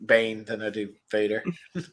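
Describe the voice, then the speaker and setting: A man hosting a relaxed stream, chatting and reading viewer comments, his voice nasal and stuffed up. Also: lowish voice, moderate pace. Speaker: host. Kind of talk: casual livestream chat about toys.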